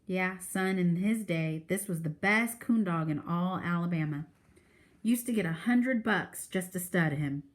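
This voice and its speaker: A woman's voice: lowish voice, a little slow, lightly acted. A proud old Southern country man , read by a woman, spins a folksy yarn. The telling is drawn out and earnest, in storybook read-aloud style. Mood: proud, wistful.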